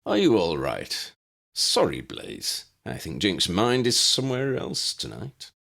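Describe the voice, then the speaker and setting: A man voicing a refined, well-to-do young gentleman wizard with a wry, teasing manner in an audiobook. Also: lowish voice, wildly swooping pitch, measured pace, gravelly, exaggerated voice. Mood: amused teasing.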